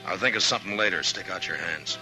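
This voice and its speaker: A stern American lawman of the old West played by a male radio actor, speaking in a deep voice. He is dry, clipped and commanding, in the manner of 1950s radio drama. Music sits clearly in the old recording. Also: fast talker, close to an everyday voice, poor audio. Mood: hard, commanding. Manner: curt, commanding. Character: stern, dry, unflinching frontier US marshal. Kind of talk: 1950s radio western acting.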